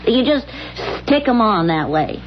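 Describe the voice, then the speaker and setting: A woman's voice: medium pitch, very animated, a little slow. A bossy, exasperated middle-aged wife in an old radio play. She scolds and nags with emphasis, on a dull recording with faint noise. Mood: complaining. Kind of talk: 1970s radio comedy-drama acting.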